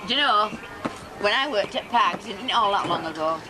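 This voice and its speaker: A woman's voice: medium pitch, animated, a little fast. An older English woman, a grandmother, chatting bluntly and teasing her family in casual talk. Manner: blunt and teasing, swears lightly. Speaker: grandmother in home videos. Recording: noisy old home video tape.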